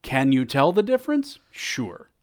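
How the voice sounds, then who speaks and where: A man with a bassy voice chatting casually on a podcast, rambling with restarts, recorded on a headset mic. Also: huge pitch swings, unhurried. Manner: rambling, frequent restarts and fillers. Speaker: podcast co-host and event tech guest. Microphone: over-the-ear headset mic.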